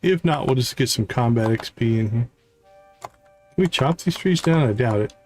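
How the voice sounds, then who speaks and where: A man's voice: medium pitch, lively intonation, normal speed, darkish tone, faint music behind. A man doing casual live gameplay commentary, thinking aloud to himself and his viewers as a streamer. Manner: musing, talks to viewers and self. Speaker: video game livestreamer.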